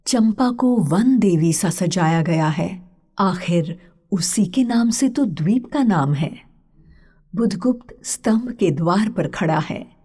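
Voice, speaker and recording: Story narrator: woman with a dark, breathy voice, measured and unhurried literary reading. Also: medium pitch.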